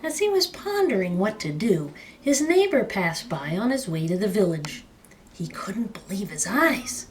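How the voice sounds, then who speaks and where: A woman narrates a children's story in a middling register with very lively intonation. She stresses key words dramatically, as a storyteller reading aloud. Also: medium pitch, a little slow. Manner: dramatic, emphatic phrasing. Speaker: storyteller reading a picture book.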